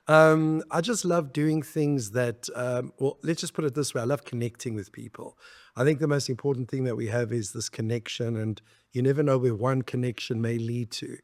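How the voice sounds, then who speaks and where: A middle-aged man from South Africa, a podcast guest talking reflectively in a relaxed interview and laughing easily. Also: medium pitch. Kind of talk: conversational interview.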